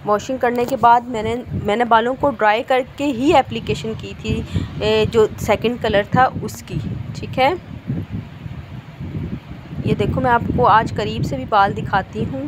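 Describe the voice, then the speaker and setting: A woman's voice: a little high, steady tone, a little slow, noisy background. Chatty woman stylist explaining hair color. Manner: chatty, repeats "theek hai ji" often. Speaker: hairstylist and channel host. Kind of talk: casual how-to tutorial narration. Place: hair salon.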